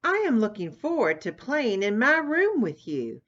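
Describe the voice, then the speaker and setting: A woman voicing a bossy, bragging young girl turtle in a storybook, boastful and shrill when angry. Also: wildly swooping pitch, unhurried, fairly bright tone, lo-fi sound, full character voice. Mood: sweet bragging.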